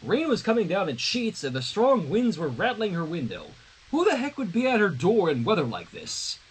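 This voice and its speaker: A man narrates a fan-written story for a livestream. His voice is high for a man and husky, with intonation that moves around a great deal. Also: huge pitch swings, moderate pace, low-quality recording. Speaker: fan fiction reader on a livestream. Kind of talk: animated story narration with casual asides.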